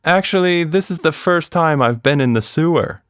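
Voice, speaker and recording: A man's voice: a little high, expressive, normal speed, fairly bright tone, clear, resonant tone, no echo, studio quality, voice pushed up. A man voicing a curious, plucky young girl in a children's adventure story, with a questioning, exclaiming manner.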